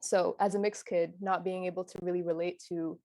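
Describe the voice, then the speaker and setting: A woman's voice: fairly low pitch, brisk, dry recording. Young woman telling stories animatedly, dry sound. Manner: animated storytelling, laughs while recounting. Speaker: panel guest. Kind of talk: casual panel discussion.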